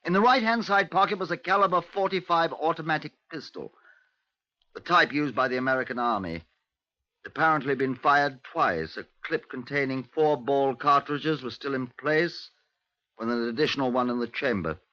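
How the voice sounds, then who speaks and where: Male actor for brisk British detective inspector, 1950s radio drama, forceful, measured report style, lo-fi sound. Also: mid-range voice, dry recording, close to an everyday voice. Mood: matter-of-fact. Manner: clipped, formal, measured police-report delivery. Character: brisk, methodical male Scotland Yard inspector. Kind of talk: 1950s radio drama acting, narration and dialogue. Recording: dull, lo-fi 1950s radio recording.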